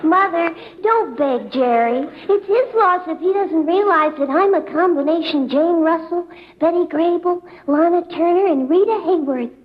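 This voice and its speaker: A woman's voice: very high voice, lively intonation, normal speed. A woman playing a gawky, boastful, lovesick daughter with overblown theatrics in 1950s radio comedy style. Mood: melodramatic. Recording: muffled, lightly noisy old radio broadcast.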